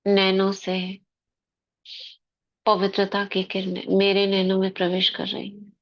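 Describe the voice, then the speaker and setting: Slow, flat-voiced meditation guide woman. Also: mid-range voice, highs cut off. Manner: slow, soft, spoken in short phrases with long pauses.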